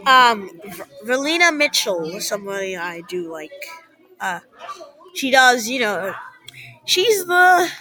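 A woman vlogging casual, rambling opinions in an excitable, easily exasperated way, full of exclamations. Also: on the high side, a little slow, pauses often, poor audio. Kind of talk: casual rambling opinion commentary on transit announcements. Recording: busy chattering room with crowd noise. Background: other people's conversation.

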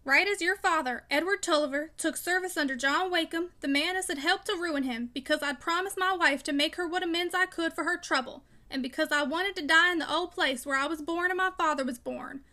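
A woman's voice: sits high, brisk, bright, forceful tone. A woman voicing a fierce, bitter, broken old father with peremptory rustic speech in a storybook reading. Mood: stern, commanding. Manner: peremptory, emphatic, dialect speech. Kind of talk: audiobook character dialogue.

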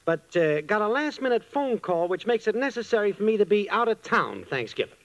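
Brash, slangy, bright-voiced boyfriend. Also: male voice, high voice, very animated, a little fast, lo-fi sound, exaggerated voice. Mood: glib. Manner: glib, slangy, casual swagger. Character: slangy, freeloading boyfriend, a brash cheap fibber. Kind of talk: old-time radio comedy acting.

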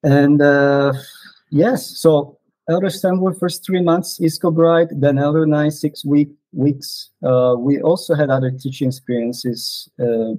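A man in his forties, a non-native English speaker, casually reminiscing in an interview with frequent pauses and fillers. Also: fairly high pitch, fairly even intonation, darkish tone, no echo, rough recording. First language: not English. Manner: hesitant, many fillers and restarts. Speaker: podcast guest, former missionary.

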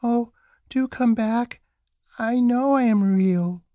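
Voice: medium pitch, slow pace, dark tone, no echo, studio quality, voice pushed up, lightly acted. A storyteller voicing a small, timid velveteen toy rabbit, earnest and plaintive. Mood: pleading. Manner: plaintive, childlike protesting.

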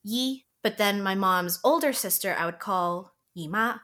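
A young woman from Canada talks in a loose, chatty podcast style. She fills her sentences with likes and ums and breaks into laughter often. Her pitch sits in an ordinary middle range. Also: a little slow, bright, forceful tone. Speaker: podcast guest. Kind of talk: casual podcast chat.

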